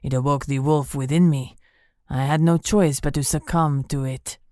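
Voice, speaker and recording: A woman's voice: fairly even intonation, moderate pace, darkish tone, no echo, clean studio sound, lightly acted. Woman doing a purring werewolf villain. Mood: menacing. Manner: low purring menace. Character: menacing male werewolf captor, smooth and cruel.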